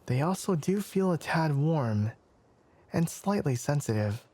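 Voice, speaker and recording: A man playing a flustered, formal young fox-eared forest scholar in an intimate ASMR anime roleplay, hesitant and stammering, often slipping into a breathy whisper. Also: a little high, expressive, unhurried, warm tone, no echo. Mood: woozy, uneasy.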